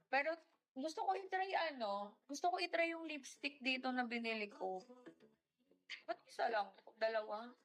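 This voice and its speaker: Bright high-voiced Filipina livestreamer. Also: female voice. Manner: chatty, reads out and greets viewers by name; mixes Tagalog and English mid-sentence. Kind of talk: casual live-stream chat.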